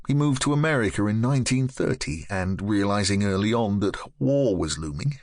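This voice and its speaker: A man reading a nonfiction audiobook in a measured, expressive way, close to a studio mic. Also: fairly low pitch, no echo. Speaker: audiobook narrator. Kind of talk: scripted nonfiction narration.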